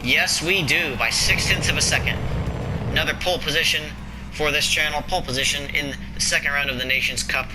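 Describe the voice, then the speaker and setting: A man's voice: a little high, fairly quick. A young man giving casual, self-deprecating commentary on his own sim racing laps as a streamer. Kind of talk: live gameplay commentary. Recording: loud noise of racing game audio behind. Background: engine noise from the racing game.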